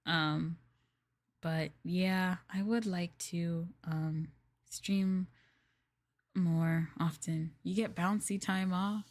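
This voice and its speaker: Soft-spoken woman, low-pitched ASMR streamer. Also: steady tone, very slow, no echo. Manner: gentle, hushed, casually chatty with viewers. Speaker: VTuber livestreamer.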